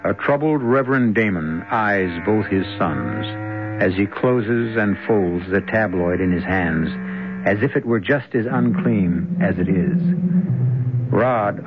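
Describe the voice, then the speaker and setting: A man hosting and narrating a radio drama in an ominous, deliberate scripted style. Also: fairly low pitch, background noise. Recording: muffled old radio broadcast. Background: music.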